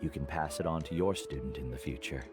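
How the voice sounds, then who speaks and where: Very deep, wise old male mentor. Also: over loud music. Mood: calm, knowing. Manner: measured, sage-like. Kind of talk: video game voice acting.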